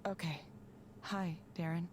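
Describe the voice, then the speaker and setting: An actress playing a composed, professional therapy proxy in a video game, reading from a script in a measured way. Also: female voice, fairly low pitch, fairly even intonation, natural voice. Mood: gentle, guarded. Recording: music behind the voice.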